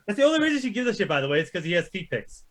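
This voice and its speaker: A man's voice: high voice, sing-song, very fast, a bit bright. A man co-hosting a casual argumentative chat, blunt and foul-mouthed. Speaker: co-host.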